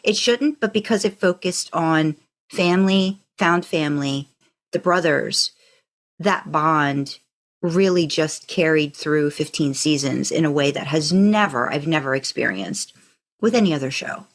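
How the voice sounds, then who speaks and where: Female podcast co-host, lowish pitch, conversational storyteller, enthusiastic and discursive. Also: a little slow.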